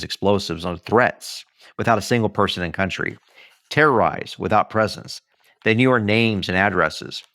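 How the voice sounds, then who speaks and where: An American man from Texas reading his own memoir aloud as scripted narration, with dramatic, emphatic delivery and deliberate pauses. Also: on the low side, a bit bright, no room sound, studio quality. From Texas, United States. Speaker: author reading own book.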